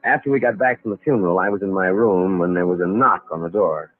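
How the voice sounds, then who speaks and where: An actor playing a slick, tough-talking impostor scheming for an inheritance in a 1940s radio drama. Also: male voice, low voice, fast. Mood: brooding narration. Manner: tough slangy talk. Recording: tinny band-limited vintage radio recording.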